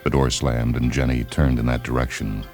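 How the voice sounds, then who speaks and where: A man reading science fiction as a narrator, in a measured, deliberate storytelling style. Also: rumbling low, level tone, a little mellow, faint music behind. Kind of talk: scripted dramatic narration of fiction.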